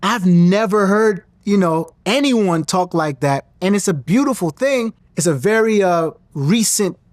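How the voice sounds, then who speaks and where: A man's voice: high voice, expressive, measured pace, no echo. A man animatedly retelling an anecdote. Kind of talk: casual anecdote.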